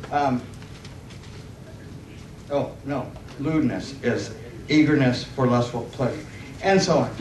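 A middle-aged man preaching and reading scripture in a halting, slightly nervous way, often correcting himself and asking for amens. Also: on the high side. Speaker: preacher at a church conference. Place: church hall stage, indoors. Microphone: stand microphone, picked up by far camera. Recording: roomy, distant microphone with faint noise.

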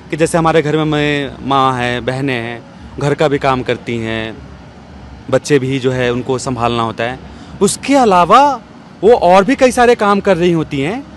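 A man in his thirties asking questions as an interviewer, recorded outdoors on a handheld microphone. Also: high voice. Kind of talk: on-camera interview. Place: outdoor bench in an open courtyard. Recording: clear outdoor urban background noise.

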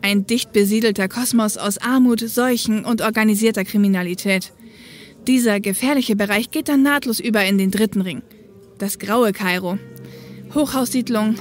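A woman's voice: fairly high pitch, lightly acted. A woman playing a young, matter-of-fact helicopter co-pilot and caring older sister in a German audio drama.

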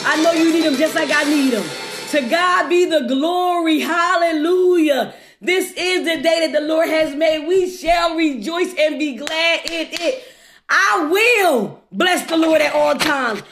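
A woman delivers an exuberant devotional sermon in a strikingly high-pitched voice. She exhorts fervently, repeating phrases and putting questions straight to her listeners. The sound is roomy, with a clear echo around her words. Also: fairly bright tone. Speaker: preacher leading a livestream devotional. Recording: echoey, reverberant room.

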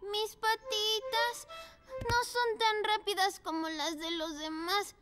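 A tiny squeaky puppy girl, super high and slow, eager to go first, loud music behind. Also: level tone, exaggerated voice. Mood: worried. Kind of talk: cartoon dubbing.

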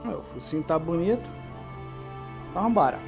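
A man's voice: a little high, animated, brisk, vocal fry, over music. A man with a Brazilian accent giving chatty, slangy commentary on a video game as he plays, talking straight to his viewers. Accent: Brazilian Portuguese. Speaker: gameplay commentator. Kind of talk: casual let's-play commentary.

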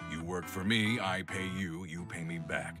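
A man's voice: low-pitched, moderate pace, natural voice. A man voicing a sleazy, self-important cartoon hyena music producer, smug and scheming.

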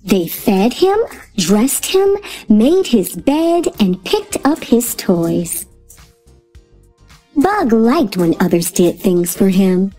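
A woman reading a children's picture book aloud in a slow, lilting storytelling voice. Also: medium pitch, sing-song, warm tone, soft background music. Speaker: storybook reader. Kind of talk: children's read-aloud narration.

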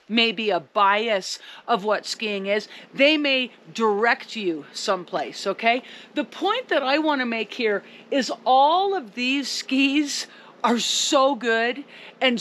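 A woman ski coach speaking emphatically to camera outdoors in the snow. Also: on the high side. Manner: emphatic, upbeat, loud. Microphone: camera a few meters away.

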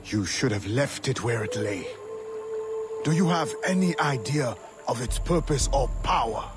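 Game casting: male actor as stern older mentor, bitter and scornful, formal commands with wide pitch swings. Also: animated, a little slow, music in the background, close to an everyday voice. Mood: stern anger. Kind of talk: video game cutscene acting.

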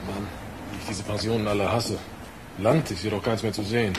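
A man's voice: on the low side, steady tone, brisk, natural voice. Man playing a worried working man, noisy surroundings. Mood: gloomy, resentful. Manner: gloomy, grumbling. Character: worried, resentful working man, father of five.

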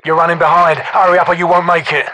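An actor playing a tough, commanding British special forces soldier in a video game, giving short, curt military callouts. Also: male voice, a little high, level tone, fast talker, pushed and bright, rough recording. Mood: pressing.

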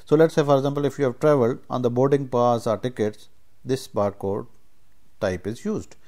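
A man giving an informal step-by-step software tutorial as instructor, speaking haltingly and often checking in with short tags. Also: mid-range voice, fairly even intonation. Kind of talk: informal screen-share tutorial, explaining step by step.

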